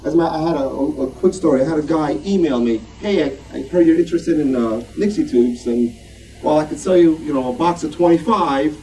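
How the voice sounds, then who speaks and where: A man's voice: a little high, brisk, warm tone. A man speaking informally to a club at show and tell, rambling and self-deprecating in a conversational way. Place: club meeting room. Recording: distant mic, noisy room.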